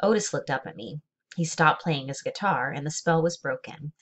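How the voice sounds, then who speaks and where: A woman with a low voice reads a children's novel aloud. Her delivery is measured and expressive, with deliberate emphasis as she tells the story. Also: slightly rough. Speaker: read-aloud reader. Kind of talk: expressive children's book narration.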